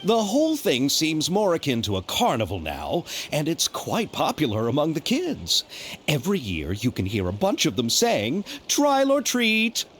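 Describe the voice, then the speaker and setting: A man's voice: a little high, wildly swooping pitch, moderate pace, fairly bright tone, a little hiss or hum. A man playing an earnest, bookish film festival organizer in a video game, politely explaining things and slightly flustered. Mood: cheerful, explaining.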